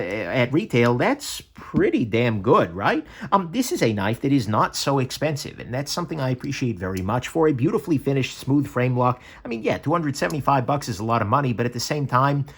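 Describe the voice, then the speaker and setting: Man, casual product review, lively up-and-down pitch, ums and stammered restarts. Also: slightly rough. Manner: chatty and self-correcting, frequent ums and stammered restarts; lively, swinging pitch between upbeat and low asides. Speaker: knife reviewer, channel host.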